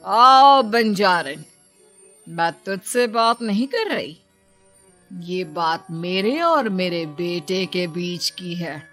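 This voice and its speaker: A woman voicing a scheming, bullying middle-aged mother-in-law in a moral story, with a sharp-tongued, imperious manner. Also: on the low side, expressive, takes it slowly, soft background music. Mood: threatening. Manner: commanding, threatening, stammering when cornered.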